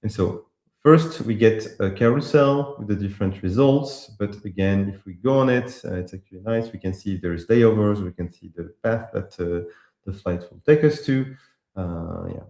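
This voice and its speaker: A man from France with a French accent, casually walking through a live software demo, with many pauses and restarts. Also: sits low, reverberant. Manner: casual, hesitant with frequent uh and restarts. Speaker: presenter demoing apps. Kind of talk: live tech demo walkthrough.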